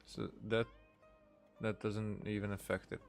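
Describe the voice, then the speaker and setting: A man's voice: on the low side, flat delivery, a little slow, vocal fry, soft background music. A man streaming a game with casual live commentary, musing and hesitant as he thinks aloud.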